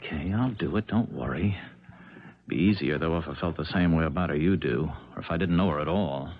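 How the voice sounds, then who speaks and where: An actor playing an uneasy ex-con with halting, slangy talk in a 1970s radio drama. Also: male voice, rumbling low. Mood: uneasy, grudging. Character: uneasy ex-con posing as a fiancé, low-voiced hired killer. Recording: muffled vintage radio broadcast.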